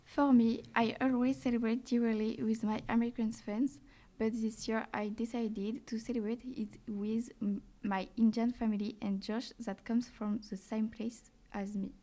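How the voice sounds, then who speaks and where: Soft-toned woman answering carefully. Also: a little high, flat delivery, moderate pace. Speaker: podcast guest. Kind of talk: scripted podcast answers.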